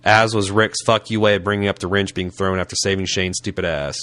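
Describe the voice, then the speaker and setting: A man's voice: low-pitched, steady tone, a little fast, fairly bright tone, no room sound. A man from Indianapolis with a light Southern accent, hosting a casual podcast and reading listener mail in a frank, profane, joking way. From Indianapolis, USA. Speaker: podcast host.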